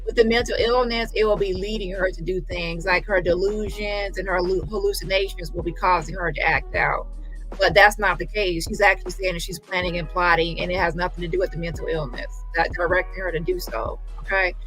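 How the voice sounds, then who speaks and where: A woman's voice: fairly low pitch, fairly even intonation, a little fast, fairly bright tone, faint music behind, rough recording. A woman hosting a casual livestream commentary, talking emphatically in a rambling way and often correcting herself. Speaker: livestream host.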